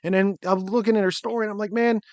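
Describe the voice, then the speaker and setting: A man in his late thirties hosts a podcast in a slightly high voice, talking earnestly and rambling a little as he repeats his words. The sound is dry and close, with almost no room around him. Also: fairly even intonation, fast, no room sound, lo-fi sound. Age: 30s. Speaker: podcast host. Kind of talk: casual podcast intro and chat.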